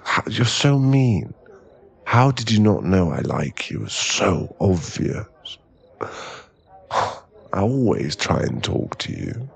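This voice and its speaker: A man playing a drunk, clingy, lovesick boyfriend in an intimate ASMR roleplay, with halting, pleading speech and a husky, gravelly voice. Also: lowish voice, sing-song, exaggerated voice. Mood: whiny, pleading. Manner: tipsy, halting, drawn-out, pleading delivery.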